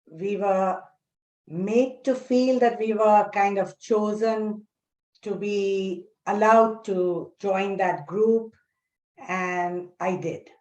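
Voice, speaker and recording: A woman introducing herself as a panelist, speaking in measured short phrases with pauses. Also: mid-range voice, steady tone, a little mellow, muffled recording. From Karachi, Pakistan. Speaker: panelist, recipe curator. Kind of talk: personal introduction at a panel talk. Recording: echoey room sound.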